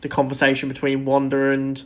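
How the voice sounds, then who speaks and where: A man's voice: mid-range voice, monotone, brisk. A man co-hosting a podcast, talking casually and enthusiastically in loosely worded thoughts about film and TV. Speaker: podcast co-host.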